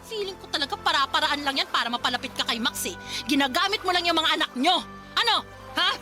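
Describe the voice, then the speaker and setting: A woman's voice: high-pitched, animated, quick pace, sharp tone. A woman playing a fiery, confrontational betrayed girlfriend in a melodramatic radio drama, scolding shrilly and emphatically. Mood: enraged. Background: background music.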